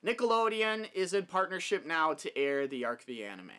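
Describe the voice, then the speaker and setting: Bright, high-voiced young man. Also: sing-song, normal speed. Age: young adult. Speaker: video host. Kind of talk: casual opinion monologue. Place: small wood-paneled room at home.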